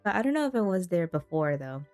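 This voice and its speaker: A woman's voice: on the low side, big pitch swings, quick pace, a little mellow, no echo. Chatty woman streamer, close and dry. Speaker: game streamer. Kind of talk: casual gameplay commentary, reading dialogue aloud. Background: game music.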